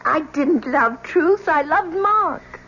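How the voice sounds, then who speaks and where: High-voiced anguished daughter, old radio. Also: female voice, expressive, slow, a bit bright, full character voice. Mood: bitter. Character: bitter, anguished young woman, professor's daughter. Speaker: actor in a radio drama. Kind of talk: old-time radio acting. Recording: muffled, noisy old radio recording.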